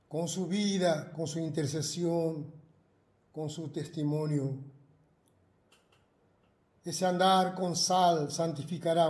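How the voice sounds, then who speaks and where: A man from Argentina preaching and praying into his own video, slow and measured. His voice sits a little higher than most men's, and the room rings with echo. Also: darkish tone. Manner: slow, earnest, fervent praying with repetitions. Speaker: pastor. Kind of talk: recorded sermon and prayer. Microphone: self-recorded video.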